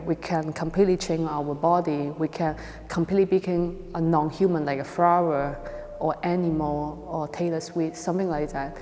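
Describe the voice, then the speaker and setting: Mellow woman, Hong Kong accent, reverberant hall. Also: fairly low pitch. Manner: hesitant, halting non-native English. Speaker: video and installation artist. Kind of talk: artist talk presenting an exhibition.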